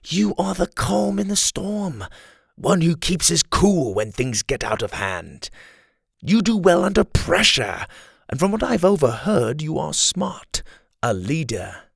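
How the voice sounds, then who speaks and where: A man voicing a smug scheming male rebel leader who taunts in a high, theatrical tone. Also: big pitch swings, a little slow, creaky, slightly rough, dry recording, studio quality, heavily acted. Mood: taunting. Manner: gloating, theatrical, high mocking tone.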